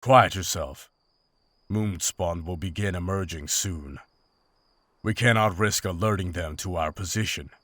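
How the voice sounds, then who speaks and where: A man's voice: low-pitched, unhurried, fairly bright tone, no echo. A man playing a grave, principled monster hunter in a fantasy visual novel, speaking in terse, formal lines. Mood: firm warning. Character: stern, principled monster hunter, weary and commanding.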